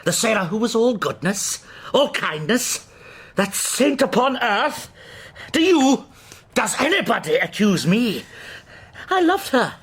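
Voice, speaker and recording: A lovesick madman in a period radio drama, with a high, husky voice. He rambles theatrically, swooping from whispers to cries. His mind is plainly unhinged. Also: big pitch swings, a little slow, fairly bright tone, exaggerated voice. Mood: anguished, adoring. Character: unhinged, lovelorn man descending into raving madness.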